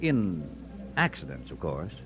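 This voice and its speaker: An actor playing a wry, defiant American frontier editor with a folksy manner in an old radio Western. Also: male voice, low voice, wildly swooping pitch, unhurried, a bit bright, heavily acted. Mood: folksy recounting. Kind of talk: old-time radio Western drama acting.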